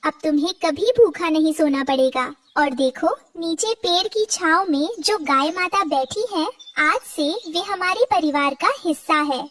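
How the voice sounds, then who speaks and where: A woman's voice: high-pitched, measured pace, hardly any pauses, fairly bright tone, far from the mic. A woman voicing a poor, humble mother bird in a cartoon, devoted and deferential. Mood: tender. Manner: pleading, deferential.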